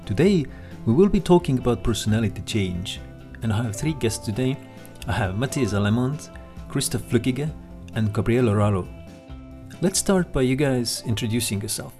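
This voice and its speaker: A man hosting a podcast, speaking in a measured way and setting up questions for his guests. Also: medium pitch, lively intonation, darkish tone, soft background music. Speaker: podcast host.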